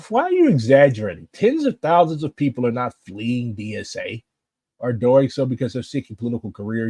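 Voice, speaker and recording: A man's voice: mid-range voice, mellow, breathy edge, dry recording. A man with a St. giving blunt, impassioned political commentary. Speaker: political activist.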